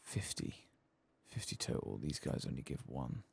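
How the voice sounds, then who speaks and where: A man giving soft-spoken, sometimes whispered ASMR game commentary, murmuring casually to himself close to the mic and swearing now and then. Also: rumbling low, big pitch swings, normal speed, vocal fry, husky. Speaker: ASMR gaming streamer.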